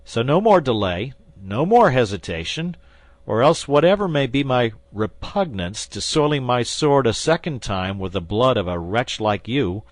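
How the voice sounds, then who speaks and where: A man's voice: on the low side, animated, normal speed, dry recording, close to an everyday voice. A man voicing a fierce young guardsman who questions and threatens a wounded enemy. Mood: threatening. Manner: commanding, stern.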